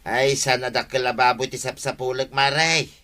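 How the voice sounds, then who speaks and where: Stubborn, sheepish Ilocano village man, bright voice, acted by a man. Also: mid-range voice, fairly even intonation, normal speed, lightly acted. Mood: whining. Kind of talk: Ilocano comedy radio drama acting.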